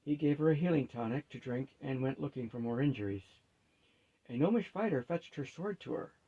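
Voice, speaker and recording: A man's voice: medium pitch, animated, a little fast, slightly rough, narrow, tinny audio. A man reading a fantasy story aloud as scripted narration.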